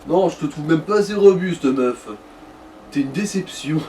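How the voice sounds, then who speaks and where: A man with a fairly high voice banters over a video game as the second commentator. He jokes in absurd exaggerations, sitting a little away from the mic with some background noise. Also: moderate pace, warm tone, recorded from a distance. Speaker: let's play co-commentator. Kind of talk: casual banter over gameplay.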